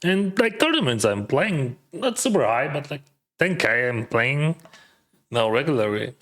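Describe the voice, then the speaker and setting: Male poker streamer, mid-pitched, chatty, reasons aloud, light accent. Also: big pitch swings, measured pace, fairly bright tone. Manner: chatty, thinks aloud, casual slang; mildly accented non-native English. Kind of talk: casual live-stream commentary.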